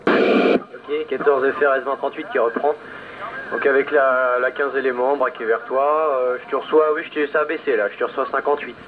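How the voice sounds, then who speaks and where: A man's voice: mid-range voice, fairly even intonation, a little fast. A man talking casually and technically as an operator over a two-way radio transmission. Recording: muffled radio signal buried in static.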